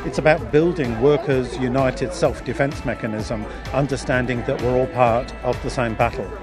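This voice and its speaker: A man's voice: mid-range voice, steady tone, moderate pace, over music. A man giving an impassioned speech at an outdoor protest rally. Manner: impassioned, declaiming. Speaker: rally speaker.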